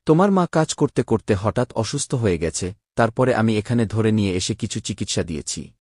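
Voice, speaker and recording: A man voicing a calm, reassuring village landlord in a cartoon. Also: fairly low pitch, fairly quick, breathy edge, dry recording, clean studio sound.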